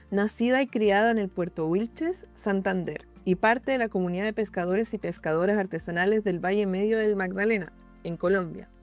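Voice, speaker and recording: A young woman hosts a podcast and greets her audience and guest warmly. She then puts her interview questions gently, while faint music plays behind. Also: mid-range voice. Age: young adult.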